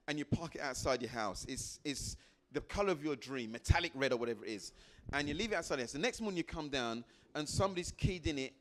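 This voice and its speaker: A man, a life coach giving an animated motivational talk to a live audience and putting questions to it. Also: medium pitch. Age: 50s. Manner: animated, quotes people in put-on voices, asks the crowd questions.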